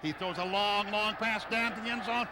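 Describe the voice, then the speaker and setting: High-voiced American football play-by-play man. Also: fairly even intonation, moderate pace. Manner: clipped running description in short phrases. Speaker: radio play-by-play announcer. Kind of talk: live American football radio commentary. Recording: noisy live stadium radio broadcast.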